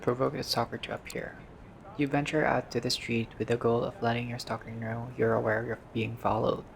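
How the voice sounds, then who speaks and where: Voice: medium pitch, vocal fry. Noisy recording of a casual gamer reading aloud. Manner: informal, swears, stumbles while reading. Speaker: gamer reading and reacting to a visual novel playthrough. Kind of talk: casual let's-play, reading game text aloud with asides.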